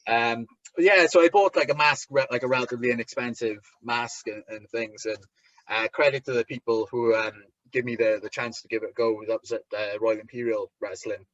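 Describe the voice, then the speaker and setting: A man with a thick Welsh accent, a wrestler chatting as an interview guest, talking haltingly with lots of restarts and fillers. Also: mid-range voice, steady tone, fairly bright tone, no room sound, lo-fi sound. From Wales. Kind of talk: casual interview chat.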